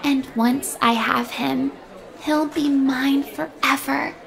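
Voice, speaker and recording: A woman's voice: high-pitched, steady tone, slow pace, gravelly, some chatter behind, full character voice. A woman voicing a lovesick, obsessive teenage chicken schoolgirl, dreamily narrating a diary in a game cutscene. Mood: scheming, obsessive.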